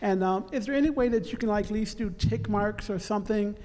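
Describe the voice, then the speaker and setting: A man speaking up at a community meeting, direct and animated. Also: high-pitched, fairly quick. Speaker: community participant. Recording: reverberant hall with faint noise.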